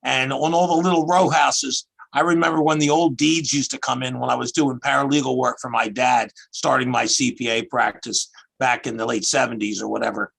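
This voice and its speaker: A man's voice: medium pitch, fairly even intonation, a little fast, vocal fry, a bit bright, slightly rough, rough recording. A man in his sixties with a Philadelphia accent, a guest telling rambling stories about his work over a video call. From Philadelphia area. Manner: rambling, chatty storyteller. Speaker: guest, tax accountant.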